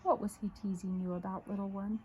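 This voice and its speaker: A woman voicing a kind, earnest male schoolteacher in a storybook, tender and encouraging, with a husky voice. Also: medium pitch, level tone, brisk, dark tone, lo-fi sound, a little hiss or hum, natural voice. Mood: gentle concern.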